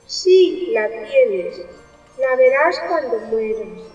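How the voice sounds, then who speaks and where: Woman as ghost of a dead friend, high voice, grave and admonishing, unhurried, echoey, radio play. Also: animated, a little mellow, highs cut off, soft background music, heavily acted. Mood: grave warning. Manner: slow, solemn, admonishing. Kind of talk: amateur radio play acting. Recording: distant, echoey long reverb effect.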